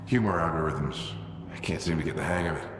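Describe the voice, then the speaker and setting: A man's voice: a bass voice, fairly quick. A man playing a stubborn, driven ex-soldier father in a video game, speaking tersely. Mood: dry. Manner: terse, insistent. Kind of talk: scripted video game drama. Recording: roomy hall echo, faint noise.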